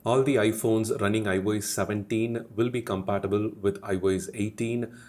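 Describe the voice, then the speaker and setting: Male tech video presenter, low voice, measured explanatory delivery aimed straight at viewers. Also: darkish tone.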